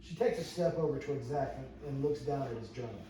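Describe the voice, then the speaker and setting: A man's voice: medium pitch, a little fast, dark tone. A man reading stage directions aloud at a script table read, as narrator. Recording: very echoey room, distant mic, noisy.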